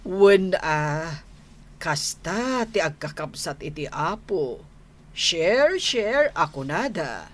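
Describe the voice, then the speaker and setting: A woman playing a genial older neighbour in a radio drama, speaking with unhurried, hesitant pauses. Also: deep voice, big pitch swings, exaggerated voice. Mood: friendly. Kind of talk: Ilocano radio drama acting.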